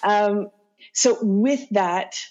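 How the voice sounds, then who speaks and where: A woman's voice: medium pitch, drawn-out words, darkish tone. A woman speaking as a podcast guest in a conversational interview, explaining her points with frequent asides like "right?". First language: English. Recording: slightly dull lo-fi audio.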